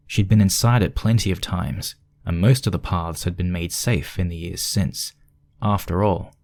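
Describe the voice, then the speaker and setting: A man's voice: low-pitched, a little mellow. A man reading scripted narration for a spooky fan fiction story.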